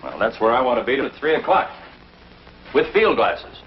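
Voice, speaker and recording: Level-headed, probing newsman male actor, medium-pitched, vintage TV drama, measured questions, dull old recording. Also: very animated, close to an everyday voice. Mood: calm, questioning. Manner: measured, questioning, hesitant starts. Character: reasonable, inquisitive, skeptical male city reporter. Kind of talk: 1950s television drama acting. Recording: dull, noisy old TV soundtrack.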